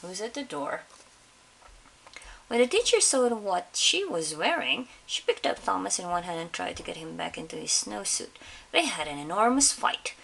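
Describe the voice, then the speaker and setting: A woman reads a children's story aloud with a very animated, lowish voice that has some creak in it. She pauses to question her young listeners. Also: poor audio. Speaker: picture-book reader for children. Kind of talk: expressive read-aloud storytelling. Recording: faint background hiss.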